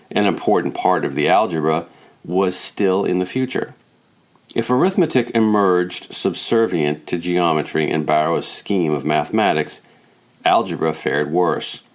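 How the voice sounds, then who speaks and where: A man reading scholarly nonfiction aloud as a scripted audiobook narration. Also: sits low. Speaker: audiobook reader.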